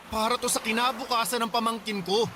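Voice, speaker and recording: A man's voice: extremely high pitch, flat delivery, fairly quick, very noisy. A man playing an exasperated, principled husband and protective uncle in a Filipino radio drama, speaking in a firm, reproachful, pleading way with a Filipino accent.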